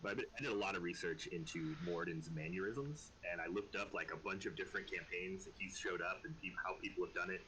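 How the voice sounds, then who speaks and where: A man chatting casually as game master of an online tabletop game, often halting and repeating words. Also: low-pitched, bright, forceful tone, a little hiss or hum, rough recording.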